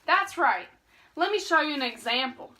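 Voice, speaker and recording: A woman's voice: high voice, sing-song, sharp tone, heavily acted, rough recording. A woman playing a bossy, bargaining wizard who teaches math in a student puppet show. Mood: instructive. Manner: brisk, instructive, impatient.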